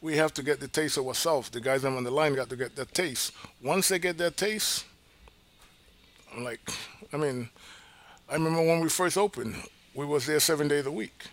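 A chef from Panama with a gravelly voice, talking in a casual interview. He drives his points home by repeating them. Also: fairly high pitch, normal speed. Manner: emphatic, repeats key points.

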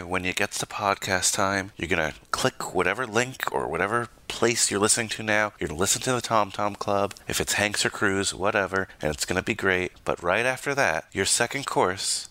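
Deep-voiced enthusiastic podcast guest man. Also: pushed and bright. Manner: enthusiastic, promotional. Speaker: podcast guest, host of another show. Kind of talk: casual podcast chat.

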